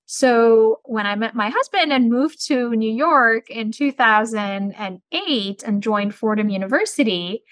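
A middle-aged woman from Turkey, a business school dean, answering interview questions in a measured, articulate, explanatory way. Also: fairly high pitch, fairly bright tone, dry recording.

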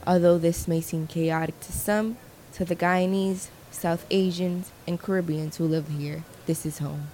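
A woman reporter reading scripted narration in a measured, descriptive way. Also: lowish voice, fairly even intonation, warm tone, a little hiss or hum, rough recording.

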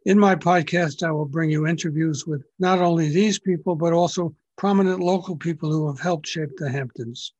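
An older man hosting an interview podcast from his home, asking questions in a gentle, unhurried way with frequent fillers. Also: fairly high pitch, husky, dry recording, dull audio. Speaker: podcast host.

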